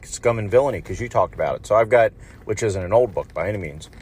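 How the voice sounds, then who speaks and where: A man leaving a casual, rambling voicemail from a car, halting and often repeating words as he goes. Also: lowish voice, lively intonation, a little mellow, slightly rough. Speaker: podcast voicemail caller. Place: in a car in traffic. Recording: noisy voicemail from inside a car. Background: car and traffic noise.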